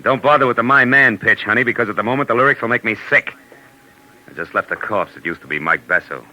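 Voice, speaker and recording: An actor playing a tough, cynical, wisecracking private detective in 1940s radio noir style, clipped and sardonic. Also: male voice, lowish voice, fast talker, sharp tone, low-quality recording. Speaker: lead actor and narrator of a radio detective drama. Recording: old 1940s radio recording with music.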